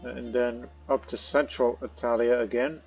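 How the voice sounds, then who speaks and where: A man's voice: medium pitch, steady tone, moderate pace, creaky, muffled recording. A man giving casual gameplay commentary, rambling with many fillers and restarts, sometimes talking as his in-game ruler. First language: not Croatian; studied Croatian as a second language. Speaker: let's play host. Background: faint game music.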